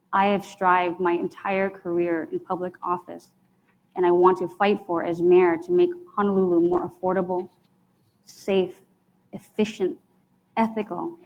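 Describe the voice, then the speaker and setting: A woman's voice: fairly low pitch, lo-fi sound. A woman giving an earnest campaign introduction as a mayoral candidate, building her points in rhetorical lists.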